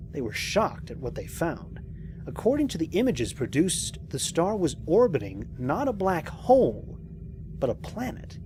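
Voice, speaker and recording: A man playing a lone spaceship commander and scientist in an audio drama, recording mission logs in a measured, reflective, precise way. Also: fairly high pitch, noisy background. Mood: intrigued. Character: lone male spaceship commander, thoughtful scientist, quietly amazed.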